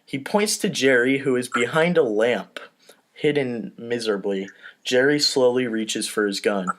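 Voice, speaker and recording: Male host-narrator for a comedy script read, mid-pitched, informal, self-deprecating asides. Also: unhurried, a bit bright.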